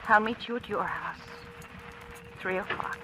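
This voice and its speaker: A woman's voice: mid-range voice, steady tone, measured pace, pushed and bright, a little hiss or hum, low-quality recording. An actress playing an anxious young woman with a guilty secret, tense and hesitant, in a 1940s radio drama style. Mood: weary, subdued. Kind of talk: 1940s radio acting, with confessional first-person narration. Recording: old radio drama recording, music underneath.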